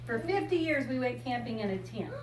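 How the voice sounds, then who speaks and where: A woman's voice: on the high side, animated, brisk. Older American woman talking to kids, echoey. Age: 60s or older. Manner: simple, explanatory, addressing young listeners. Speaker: storyteller and RV enthusiast visiting children. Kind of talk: informal talk and picture-book reading to children. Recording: echoey room, distant mic, loud background noise.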